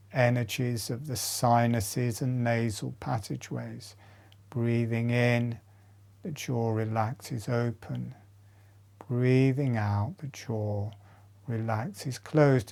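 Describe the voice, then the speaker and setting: A man leading a guided breathing meditation as an instructor, in short, measured phrases with long pauses. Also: mid-range voice, mellow.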